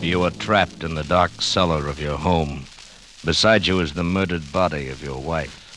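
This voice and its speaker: An ominous storyteller on an old radio show. His very low voice is grave and deliberate, with sardonic menace, over loud dramatic music on a vintage recording. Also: fairly even intonation. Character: ominous, sardonic narrator of suspense tales. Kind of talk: 1940s radio suspense narration.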